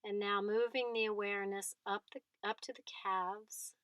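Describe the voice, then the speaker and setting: A woman leading a guided body scan meditation, speaking in gentle short phrases with long pauses between them. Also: mid-range voice, fairly even intonation, clean tone, dry recording.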